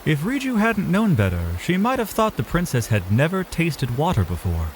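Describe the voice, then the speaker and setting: A man's voice: fairly high pitch, huge pitch swings, normal speed, noisy background. A man giving dramatic, scripted narration for a fantasy audio drama as its storyteller. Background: music.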